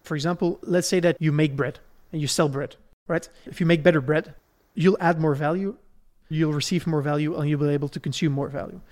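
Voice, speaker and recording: A man from Belgium with a fairly high-pitched voice speaks as a podcast host. He explains in a conversational, didactic way, building his points one step at a time and often checking that the listener follows. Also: steady tone, fairly quick, hardly any pauses, a little mellow.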